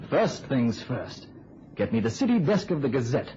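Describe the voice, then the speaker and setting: An actor playing a brisk, commanding newspaper reporter in an old-time radio drama. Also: male voice, mid-range voice, big pitch swings, warm tone, lightly acted. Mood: commanding, triumphant. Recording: noisy, muffled old radio recording.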